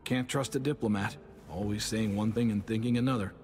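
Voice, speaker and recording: Actor as a low-voiced, dryly skeptical man. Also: steady tone, a little fast, darkish tone, natural voice. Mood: dry, wry. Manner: dry, matter-of-fact, wry. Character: plain-spoken, dryly skeptical male farm manager. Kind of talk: naturalistic dramatic game acting. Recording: long reverb, music under the voice.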